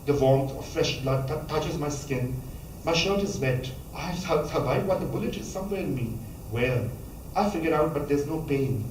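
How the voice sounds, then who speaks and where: A man, reading a memoir passage aloud at a measured pace, now and then stumbling and restarting a word. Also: on the high side, lively intonation, darkish tone, a little hiss or hum. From Pakistan. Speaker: author reading from his book. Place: college lecture hall. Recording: echoey hall, voice from a distance, faint ambience.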